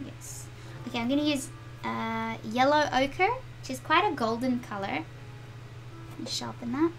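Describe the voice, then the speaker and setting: A woman's voice: sits high, normal speed, vocal fry, heavy background noise. A middle-aged woman with a South African accent, chatting to viewers and reading their comments aloud as she draws in her home studio. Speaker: art channel host. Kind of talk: live-stream drawing chat with viewers.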